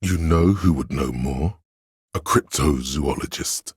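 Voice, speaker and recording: A man voicing an encyclopedic inner voice in a video game, learned and solemn, narrating in a measured, erudite way. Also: very deep voice, slightly rough, dry recording, studio quality, full character voice. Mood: measured, scholarly. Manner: measured, erudite, declarative delivery.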